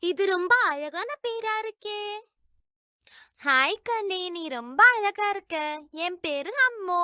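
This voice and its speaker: Little girl: cheerful, inquisitive cartoon kid, very high and bright. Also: animated, slow pace, dry recording, studio quality, exaggerated voice. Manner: sweet, inquisitive. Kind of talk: children's cartoon dubbing.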